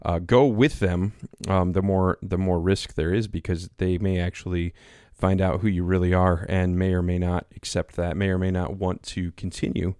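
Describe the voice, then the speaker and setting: Man, very low pitch, loud carrying voice, rambling with fillers, dry close sound. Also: little pitch movement, normal speed, hardly any pauses, smooth, dry recording. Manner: rambling, many fillers and restarts. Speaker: pastor and podcast co-host. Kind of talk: casual conversation. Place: small home studio.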